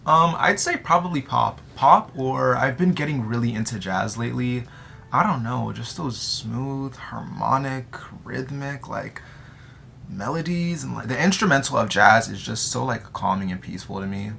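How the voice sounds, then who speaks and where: Teenage male vlogger, American accent, joking and slangy casual delivery, crisp forward tone with some vocal fry. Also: medium pitch, moderate pace, sharp tone, a little hiss or hum. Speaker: vlogger answering audience questions. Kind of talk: casual chat to camera.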